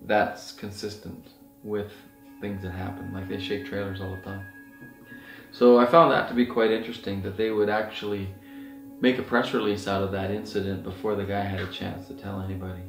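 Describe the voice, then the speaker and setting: Middle-aged man, lowish voice, earnest off-the-cuff storyteller, recorded at a distance in a roomy space. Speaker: outdoor outfitter and Sasquatch researcher. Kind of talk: casual rambling anecdotal talk. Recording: roomy echo, distant mic, faint music.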